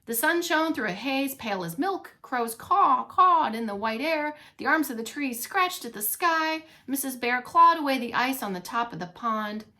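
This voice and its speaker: A woman reading a storybook aloud to young children as a teacher, expressively, pausing to comment and ask questions. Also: sits high, lively intonation, bright, forceful tone. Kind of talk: animated read-along storytelling for young children.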